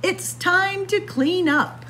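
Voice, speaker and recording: A woman's voice: extremely high pitch, slow, pushed and bright, a little hiss or hum, voice pushed up, exaggerated voice. A woman voicing a bossy young girl bunny, a tidy big sister, in a picture book read-aloud. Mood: bossy, brisk. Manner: bossy, instructing.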